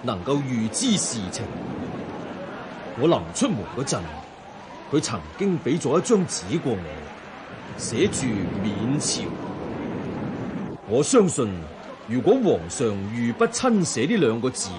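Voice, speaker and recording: An actor playing a humble, dignified Buddhist monk in a radio drama, speaking in a measured, formal and modest way. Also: male voice, mid-range voice, big pitch swings, noisy background. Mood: respectful, composed. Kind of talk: Cantonese radio drama acting.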